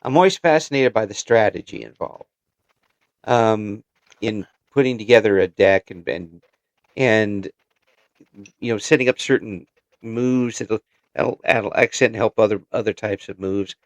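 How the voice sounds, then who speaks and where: A man's voice: on the low side, measured pace, no echo. A man hosting a casual interview podcast, chatting in an encouraging way.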